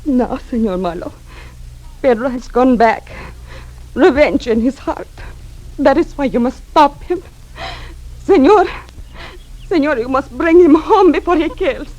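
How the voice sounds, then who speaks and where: A woman's voice: sits high, animated, measured pace, pauses often, darkish tone, rough recording. Older Spanish-accented mother, anxious, pleading, vintage radio drama. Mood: desperate. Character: distraught older Spanish-speaking mother pleading for her son. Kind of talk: old-time radio detective drama acting. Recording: old radio drama recording.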